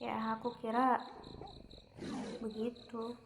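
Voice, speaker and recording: A woman voicing a cool, protective young female vampire in an ASMR roleplay, speaking calmly and matter-of-factly, with an Indonesian accent. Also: on the high side, slow.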